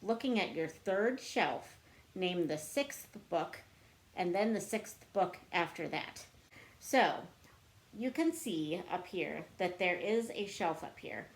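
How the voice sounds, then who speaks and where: A woman's voice: on the low side, expressive, slow. A woman book vlogger chatting casually to camera in a chatty, self-deprecating way. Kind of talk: casual chat to camera answering book tag questions.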